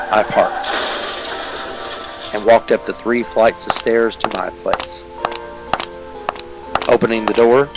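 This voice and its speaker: Man playing low, deadpan hardboiled detective. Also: poor audio. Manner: deadpan, clipped. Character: deadpan, world-weary hardboiled male police detective. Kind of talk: Dragnet-style detective parody narration.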